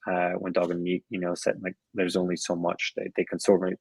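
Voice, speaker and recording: A man's voice: deep voice, monotone, a little fast, gravelly, darkish tone, husky, no room sound. A middle-aged man being interviewed on a podcast, speaking in an easygoing conversational way with frequent restarts and repeated words. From Switzerland and Colombia. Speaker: podcast guest, venture investor.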